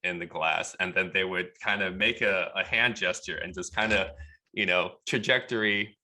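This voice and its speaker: A man from Texas tells a story on a podcast, loose and conversational. His voice sits low but has a bright, forward edge to it. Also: normal speed, rough recording. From Texas, USA. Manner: relaxed, chatty, laughs at the absurd parts. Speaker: podcast guest. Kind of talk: casual storytelling.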